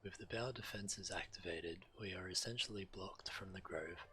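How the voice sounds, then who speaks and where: A man's voice: low voice, normal speed, sharp tone, slight background noise. An actor playing a grave, guilt-ridden male guardian of a sacred grove in a fantasy game, speaking formally and solemnly. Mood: grave, remorseful. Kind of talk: fantasy video game voice acting. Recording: music behind the voice.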